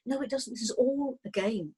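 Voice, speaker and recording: A woman on a discussion panel, talking in a thoughtful, measured way and often restarting her sentences. She grew up in England. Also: on the high side, dark tone, breathy edge. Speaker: guest.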